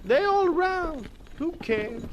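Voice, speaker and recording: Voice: high-pitched, very animated, slow pace, noisy background, heavily acted. An actor playing a cheeky, self-important young wagon driver with folksy rural speech in a radio drama. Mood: offhand, amused.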